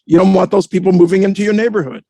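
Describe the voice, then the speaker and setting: A man speaking as a panelist, giving reflective commentary in a deliberate, articulate way with formal wording. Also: high voice, fairly even intonation, warm tone, no echo, clean studio sound.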